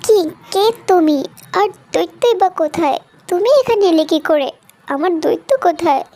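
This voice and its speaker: A woman's voice: very high-pitched, animated, normal speed, warm tone. A woman voicing a kind, dutiful young daughter, the tender-hearted heroine of a fairy tale cartoon, in an earnest, girlish manner. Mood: bewildered.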